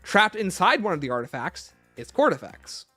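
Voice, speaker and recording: A man's voice: high voice, very animated, moderate pace, bright, forceful tone, no echo, soft background music. A man hosting a livestream, explaining and answering viewer questions off the cuff. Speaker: livestream host.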